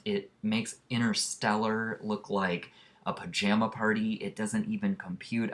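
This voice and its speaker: A man vlogging casually, talking in an enthusiastic, rambling, earnest way as he recommends a movie. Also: fairly low pitch.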